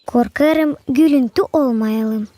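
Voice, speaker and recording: A woman's voice: high voice, slow pace, warm tone. A woman voicing a young animal band member in a cartoon. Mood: eager.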